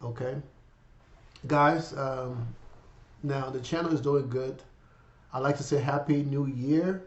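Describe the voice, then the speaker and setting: Raspy man in his fifties with a cold. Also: mid-range voice, steady tone, slow pace. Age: 50s. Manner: rambling, many fillers, addresses viewers as guys. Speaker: finance channel host. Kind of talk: casual vlog talk. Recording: echoey room, dull lo-fi sound.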